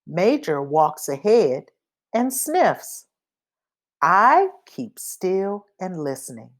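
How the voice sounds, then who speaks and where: A woman reading a children's picture book aloud in a deliberate, expressive manner. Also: medium pitch. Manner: deliberate, expressive, acts out sound effects. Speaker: read-aloud reader.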